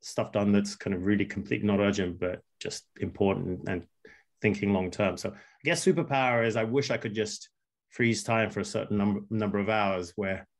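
A man in his thirties, raised in England after an early childhood in Russia, answering interview questions in a thoughtful, halting way with many fillers and restarts. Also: fairly low pitch, steady tone. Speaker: entrepreneur guest.

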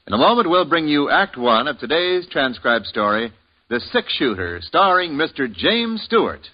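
A man's voice: fairly high pitch, sing-song, normal speed. A man announcing and narrating in formal 1950s radio style on a lo-fi recording with music. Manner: formal, declaiming.

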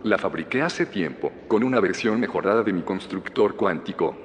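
An actor playing a calm, secretive male scientist who leads a hidden community, measured and evasive, in an audio drama. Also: deep voice. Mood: amused, confiding. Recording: reverberant cave with noisy ambience.

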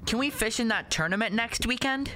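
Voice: mid-range voice, a little fast, natural voice. An actor playing an earnest, curious and stubborn little boy in a radio play, speaking in blunt, literal childlike answers over noisy ambience. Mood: eager. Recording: noisy outdoor ambience, boat sounds behind.

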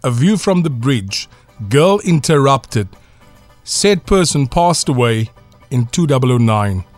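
A man's voice: mid-range voice, expressive, slow, no room sound, faint music behind. A man running a radio quiz, reading out clues and laughing along with the players. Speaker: quizmaster.

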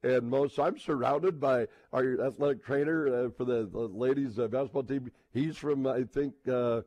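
A man hosting radio sports talk, thinking aloud in a casual, halting, rambling way with repeated words. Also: mid-range voice, fairly even intonation, a little mellow, dry recording. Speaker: sports radio host, live on location.

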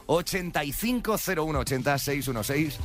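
Animated male Spanish morning radio host. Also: a little high, brisk. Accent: Spanish (Spain). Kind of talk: casual morning radio banter. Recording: music bed behind the voice.

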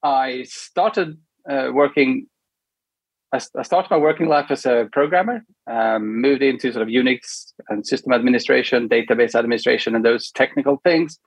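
A middle-aged Swedish man with a somewhat husky voice. He talks as a guest in an easygoing, halting way, often stopping to add a quick check-in. The sound is dry, with no room echo. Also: mid-range voice. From Sweden. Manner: relaxed, hesitant with many ums and tag questions. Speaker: guest, product manager. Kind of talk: casual interview chat.

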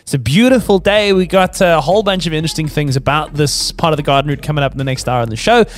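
A man presenting a radio show in a bright, breezy way. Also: a little high, fast.

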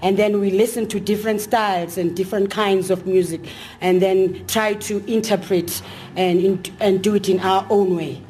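A woman's voice: mid-range voice, steady tone, normal speed, a little mellow, slight background noise. A woman, an a cappella singer, talking enthusiastically in an interview with many hesitations. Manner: enthusiastic, hesitant with fillers and restarts. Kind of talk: casual interview talk.

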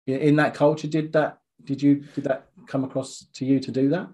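A man's voice: medium pitch, level tone, mellow. A man hosting a podcast interview, asking long questions with many false starts. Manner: halting questions with many restarts.

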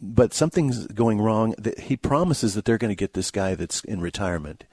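A man with a lowish voice talks as a radio movie co-host. His delivery is casual, folksy and halting, recorded close in a dry studio. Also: darkish tone, breathy edge, no room sound. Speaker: film review co-host.

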